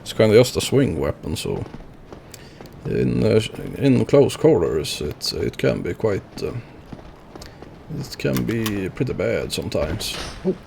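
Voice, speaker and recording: A man's voice: fairly low pitch, very animated, measured pace, vocal fry, noisy background. A man streaming a video game, chatting casually and rambling with lots of fillers as he plays. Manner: rambling, lots of fillers, chuckles at his own deaths. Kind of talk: casual gameplay commentary.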